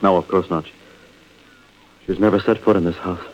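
Male actor for guilty husband in 1940s radio play: very low American voice, jittery, defensive, hesitant; dull vintage sound. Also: steady tone, normal speed, lightly acted. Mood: nervous, defensive. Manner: defensive, stammering. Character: nervous, evasive husband hiding his wife's murder. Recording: muffled old radio recording.

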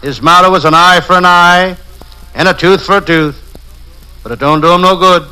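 An actor playing a wisecracking parody private detective, narrating gags deadpan in old-time radio comedy style. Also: male voice, high voice, moderate pace, smooth. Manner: deadpan gag-telling narration. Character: wisecracking hard-boiled parody private detective, adult man. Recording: noisy, crackling vintage radio recording.

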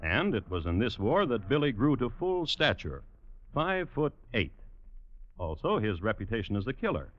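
An American actor playing the wry storyteller of a western radio drama, dry and deliberate with pauses. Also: male voice, fairly low pitch, very animated. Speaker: narrator. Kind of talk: 1950s radio scripted narration. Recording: noisy, muffled old radio recording.

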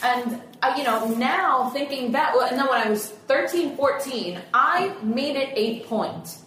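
Lively woman in a very echoey room. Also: high voice, a little slow. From United States. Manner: animated storyteller with frequent restarts. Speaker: podcast co-host. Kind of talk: casual group chat. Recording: very roomy echo, faint room noise.

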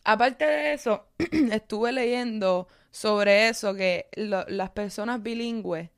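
A woman's voice: mid-range voice, slow, no echo. Young Cuban-Miami woman, Spanglish, dry. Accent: Miami Cuban Spanish with English mixed in. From Cuba. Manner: switches into English words mid-sentence. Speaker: content creator. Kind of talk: casual podcast chat.

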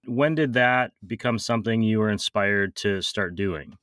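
A man with a low voice hosts an interview and asks questions in a relaxed way. He hesitates often, using fillers and restarting his sentences. The sound is dry and close, with no room echo. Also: measured pace. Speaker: interview host.

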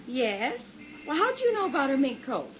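A woman's voice: sits high, big pitch swings, normal speed. An actress playing an anxious, fluttery woman in a 1950s radio detective drama. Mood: worried. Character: anxious, fluttery women caught up in a detective case. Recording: muffled, very noisy old radio recording.

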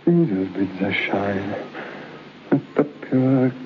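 A nervy man alone in a pitch-dark haunted room, muttering to himself in slow, halting phrases. He bluffs bravely through his unease, in the manner of old radio horror, on a dull, muffled lo-fi recording. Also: lively intonation, background noise. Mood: wistful, nervy.

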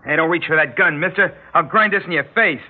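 A man's voice: fairly high pitch, quick pace, close to an everyday voice. A man playing a cocky young gang leader in a radio drama, taunting in slangy, mock-polite talk. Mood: mock menace. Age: young adult. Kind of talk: 1960s radio drama acting. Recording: muffled, noisy old radio recording.

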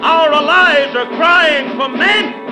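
An actor playing a fiery military leader rallying volunteers, in old-time radio drama oratory. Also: male voice, very high-pitched, slow pace. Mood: fiery, rousing. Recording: old radio recording under loud music.

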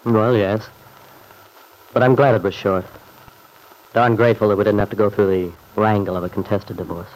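A man's voice: lowish voice, steady tone, normal speed, long pauses, darkish tone, lightly acted, low-quality recording. An actor playing a weary, polite, subdued man in an old-time radio drama, speaking hesitantly. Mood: weary, resigned. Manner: hesitant, downbeat.

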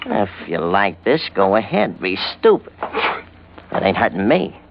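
An actor playing a surly, menacing gangster with curt, slangy tough talk in old radio noir style. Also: male voice, deep voice, very animated, measured pace, creaky, heavy background noise, heavily acted. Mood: irritated menace. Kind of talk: 1940s-50s radio noir acting. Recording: muffled old radio recording.

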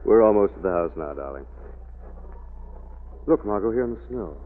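An actor playing a suave, calm young gentleman sleuth in an old radio drama, urbane and reassuring. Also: fairly low pitch, moderate pace, lightly acted. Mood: intent, concerned. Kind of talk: 1940s radio drama acting. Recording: tinny noisy old radio recording.

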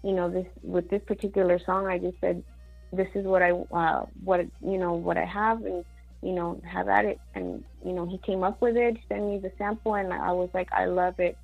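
Woman guest, Mexican-born singer-songwriter; rambling, filler-heavy talk with Spanish words; gravelly voice, dull recording. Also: lowish voice, fairly even intonation, moderate pace, faint music behind. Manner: chatty, many fillers, mixes in Spanish words. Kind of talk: casual interview chat.

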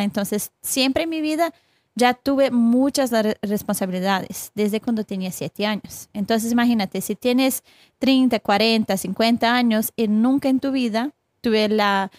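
A young woman from Brazil with a Brazilian Portuguese accent, a guest coach speaking Spanish as a second language, halting and searching for words. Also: fairly high pitch, a little mellow, no room sound. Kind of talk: casual interview talk.

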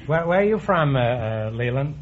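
A middle-aged man hosting a quiz show, teasing his guests with quick puns and asides before a live audience. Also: medium pitch, animated, slight background noise. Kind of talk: wisecracking quiz show banter. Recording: dull old radio broadcast, audience behind.

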